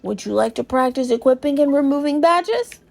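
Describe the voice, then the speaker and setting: A woman's voice: high voice, expressive, normal speed, gravelly, darkish tone. A woman voicing a shriveled old male Goomba professor from a video game, explaining things in a fussy, cautious way. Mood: instructive. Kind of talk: video game character read aloud.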